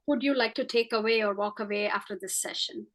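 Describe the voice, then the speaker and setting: A woman life coach guides a session over a video call, her voice a little high. She asks gentle, encouraging questions and pauses on soft fillers as she listens. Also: fairly even intonation, slight background noise. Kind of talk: coaching dialogue.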